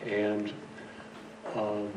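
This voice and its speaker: A man's voice: on the low side, monotone, drawn-out words, darkish tone. Male interviewee, explanatory, distant from the mic with noise behind him. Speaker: interviewed composer. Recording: echoey distant clip with background noise.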